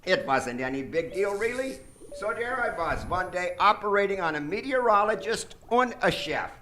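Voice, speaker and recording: A man's voice: high voice, lively intonation, moderate pace, sharp tone. A man playing a vain, megalomaniac mad surgeon in a live comedic radio drama, pompous and grandiose. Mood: boastful, grandiose. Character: vain, megalomaniac mad brain surgeon, absurdly boastful villain. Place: theater stage before an audience. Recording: noisy live theater stage recording.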